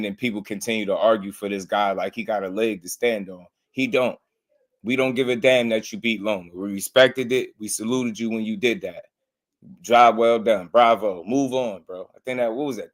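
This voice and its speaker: A man hosting a casual boxing livestream, slightly hoarse, greeting viewers with loose slang before getting into blunt commentary. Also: fairly low pitch. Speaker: boxing show host.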